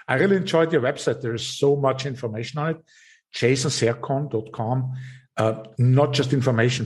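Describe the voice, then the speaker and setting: Austrian male podcast host, mid-range pitch, restarts and stammers, chatty. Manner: halting, repeats words, laughs softly. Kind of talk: casual interview chat.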